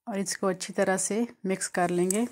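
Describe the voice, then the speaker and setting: A woman from Pakistan narrates her home cooking video step by step. Her delivery is calm and explanatory, with a mid-height voice that stays flat and even, barely rising or falling. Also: medium pitch, normal speed. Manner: calm, explanatory, walks through each step. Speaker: home cooking channel host.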